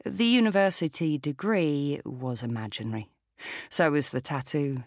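A woman narrating a thriller audiobook as a quick-witted, wry female burglar, with deliberate delivery. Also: low voice, no room sound, clean studio sound. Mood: wry, composed.

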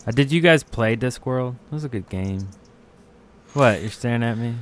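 A man's voice: medium pitch, moderate pace, a crowd in the background. A man chatting casually as a podcast co-host. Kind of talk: casual chat.

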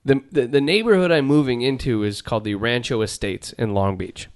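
Middle-aged male host, deadpan and rambling, dry close sound, garage podcast. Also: medium pitch, brisk, dry recording. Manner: rambling, deadpan, trails off and restarts. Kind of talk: casual joking banter. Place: home garage studio.

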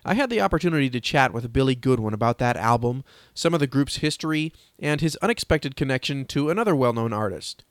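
A man's voice: mid-range voice, lively intonation, fast, few pauses, no room sound, clean studio sound. A man hosting an audio newsletter, reading scripted news in an upbeat announcer manner and then interviewing a guest. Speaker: host. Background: music.

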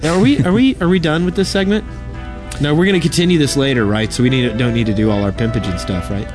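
A man chats casually as a podcast co-host, his voice sitting at an average pitch. There is light music behind him, out on a convention floor. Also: fast. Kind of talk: casual chat.

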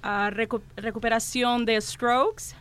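A woman's voice: fairly high pitch, steady tone, slow pace, fairly bright tone, smooth. A woman from California, a guest on a radio show, talking earnestly and proudly. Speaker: studio guest. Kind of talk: casual radio conversation.

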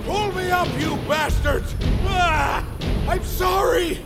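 An actor playing a panicky, cowardly travelling companion who pleads frantically in a fantasy audio drama. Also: male voice, very high-pitched, slow pace, over loud music, full character voice. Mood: panicked.

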